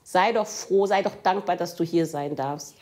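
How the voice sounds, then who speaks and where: A woman from Germany giving a personal account in an interview, emphatic, pausing and acting out quotes. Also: fairly low pitch, a little mellow. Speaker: interviewee, local politician.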